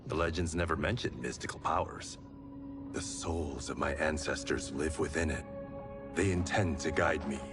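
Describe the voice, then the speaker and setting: A man's voice: a bass voice, normal speed. A man playing an earnest, determined swordsman in a video game, serious and restrained. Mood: sober. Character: earnest, determined swordsman guided by ancestral souls. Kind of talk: video game cutscene acting. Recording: loud cinematic music behind.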